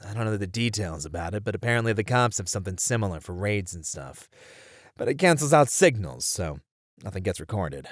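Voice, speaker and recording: A man's voice: on the low side, expressive, brisk, no room sound, clean studio sound. A man acting as a weary detective in an ASMR roleplay, musing conversationally over a murder case in a casual way. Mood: grudgingly impressed. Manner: casual, musing, sighing delivery. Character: weary male detective puzzling over a serial killer case.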